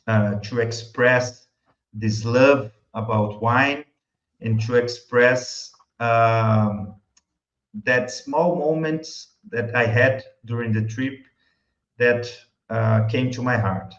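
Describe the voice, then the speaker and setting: A man's voice: medium pitch, fairly even intonation. A man from Brazil with a Brazilian Portuguese accent, a native Portuguese speaker, talking casually as an interview guest and searching for words in English. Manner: halting, searching for words, often chuckling. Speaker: interview guest, wine YouTube creator. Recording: muffled, echoey room sound.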